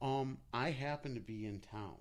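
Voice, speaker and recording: A man co-hosting a comedy podcast, excitably telling improvised stories in casual banter, swearing and playing out imagined dialogue. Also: medium pitch, moderate pace.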